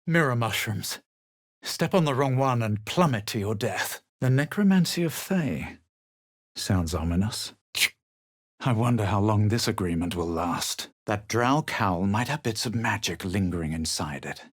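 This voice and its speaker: An actor playing a smug, self-assured male adventurer in a fantasy video game, measured and dryly dramatic. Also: lowish voice, lively intonation, no echo, studio quality. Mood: wry, composed. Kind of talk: video game character lines and first-person narration.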